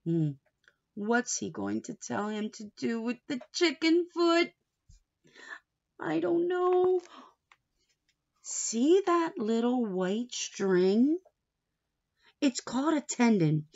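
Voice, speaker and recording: A woman reading a picture book aloud to young children like a teacher, playful and expressive, with asides, questions and some Spanish words mixed in. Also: a little high, takes it slowly, creaky, long pauses, muffled recording. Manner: playful, expressive, with gasps and exaggerated reactions; drops Spanish words in and translates them.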